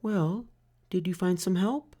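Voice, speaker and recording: A man voicing a gentle, caring mother turtle from a storybook in a soothing, tender manner. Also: high voice, takes it slowly, voice pushed up. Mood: tender, relieved.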